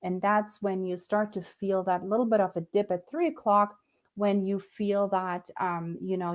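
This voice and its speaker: Woman, from Germany, middle-aged, lowish voice, nutritionist, casual explaining, hesitant with fillers. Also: darkish tone. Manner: thoughtful, pauses often with fillers and restarts. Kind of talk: casual educational talk to camera.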